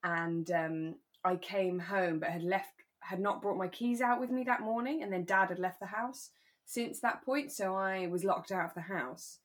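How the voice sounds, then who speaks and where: A woman's voice: mid-range voice, normal speed. A British woman co-hosting a podcast, chatting casually and conversationally about a radio drama, with a giggly streak. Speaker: podcast co-host.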